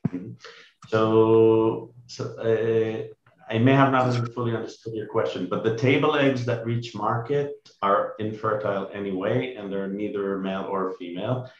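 A middle-aged man presenting a business pitch and answering questions over a video call, explaining in measured wording. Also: fairly even intonation. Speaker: startup chief executive presenting to investors. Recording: dull, roomy video-call sound.

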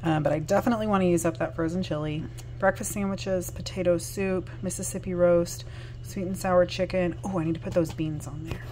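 A woman's voice: fairly low pitch, steady tone, brisk, background noise. A woman in her thirties with a Southern US accent, chatting casually and upbeat to the camera, with frequent ums and self-corrections, recorded on a phone held close in a home kitchen. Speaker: vlogger. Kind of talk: casual chat. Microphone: phone held close at arm's length. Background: dishwasher running.

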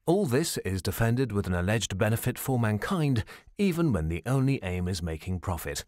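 Male narrator, fairly deep voice, formal measured delivery, scripted educational documentary, dry close studio sound. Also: very animated, a little mellow, no echo. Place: studio voice-over.

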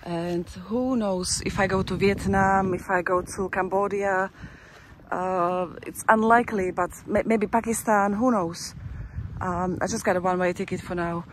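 A woman's voice: mid-range voice, steady tone, measured pace, a little hiss or hum, poor audio. A woman chatting casually to her viewers while walking through a town, rambling with restarts, recorded on a handheld camera outdoors. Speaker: travel vlogger. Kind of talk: casual walking-tour vlog commentary.

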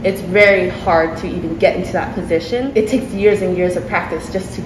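Low-voiced woman, chatty, echoey restaurant. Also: steady tone, fairly quick. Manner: chatty, laughs often, restarts sentences. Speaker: restaurant owner. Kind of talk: casual interview. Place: sushi restaurant. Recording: echoey room with background noise.

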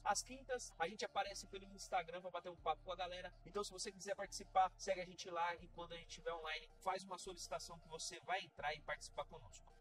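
A young Brazilian man hosting a relaxed hookah tobacco review, seated on a sofa in a dim room and talking straight to viewers. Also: sits high, far from the mic, rough recording. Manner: chatty and relaxed, addressing viewers directly. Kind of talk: casual product review to camera.

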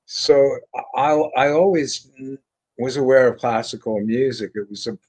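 An older man from France, a guitarist guest, telling stories in a relaxed, rambling way with many fillers and restarts, speaking over a video call from a room lined with bookshelves. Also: mid-range voice, unhurried. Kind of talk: casual interview storytelling.

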